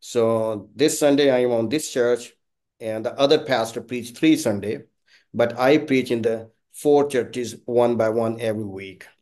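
A middle-aged man from Pakistan, a native Punjabi speaker with a Pakistani accent, chatting as an interview guest in halting, non-native phrasing. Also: mid-range voice, a little mellow. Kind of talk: casual conversational interview. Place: small indoor office, on a video call. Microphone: video call.

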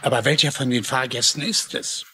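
A man's voice: mid-range voice, fairly even intonation, brisk, bright, forceful tone, natural voice. An actor playing an earnest, plain-spoken small-town lawman in a German-dubbed TV mystery. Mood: pondering. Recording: clearly noisy background ambience.

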